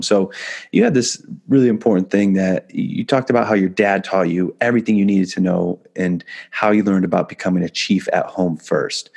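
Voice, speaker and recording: A man's voice: low voice, vocal fry, a little mellow. A man hosting an interview show, reading out a guest introduction and asking questions in an upbeat way. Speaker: podcast host. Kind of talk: reading an introduction, then chatting.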